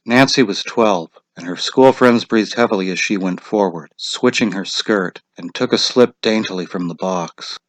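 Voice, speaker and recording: A man's voice: lowish voice, normal speed, few pauses, no echo, rough recording. A man narrating a short story as a scripted dramatic reading.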